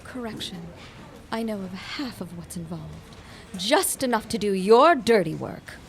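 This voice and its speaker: An actress playing a sardonic, suspicious accomplice in a period audio drama, speaking in a deliberate, theatrical manner. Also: female voice, medium pitch, expressive, slight background noise. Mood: impatient.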